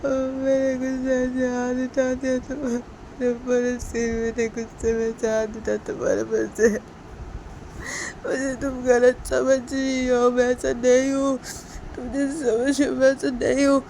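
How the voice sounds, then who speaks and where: A woman voicing a tearful young man who pleads slowly on the phone, sobbing as he begs. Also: high-pitched, little pitch movement, darkish tone, a little hiss or hum, pitched above the performer's own voice, low-quality recording. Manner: slow, sobbing, begging.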